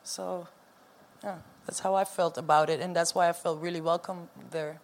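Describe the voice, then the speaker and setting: A woman's voice: on the low side, steady tone, normal speed. Female, informal and conversational panel speaker. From Antwerp, Belgium. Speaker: event organiser and spoken-word poet on a panel. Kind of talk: casual spoken discussion.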